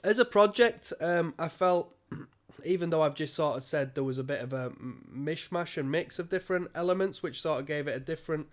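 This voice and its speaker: A man's voice: fairly high pitch, fairly quick. A man with a cold, giving a rambling casual album review full of ums and restarts. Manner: rambling, many ums and restarts, occasional coughs. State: stuffy nose from a cold. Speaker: music reviewer.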